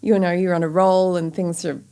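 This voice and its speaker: A woman's voice: lowish voice, little pitch movement, fairly quick, warm tone, clean tone. A middle-aged woman with an Australian accent, a company founder talking candidly in an interview, with plenty of "you know" and "like". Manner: chatty and candid, many fillers like "you know" and "like". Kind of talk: reflective interview conversation.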